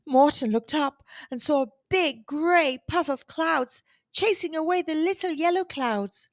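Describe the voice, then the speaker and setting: A woman hosting a children's storytime, reading aloud expressively with deliberate, dramatic emphasis. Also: sits high, no echo. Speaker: children's storytime host and narrator.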